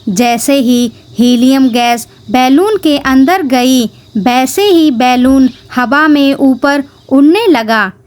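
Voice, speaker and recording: A woman's voice: high-pitched, slow, few pauses, darkish tone. A woman narrating a scripted children's story.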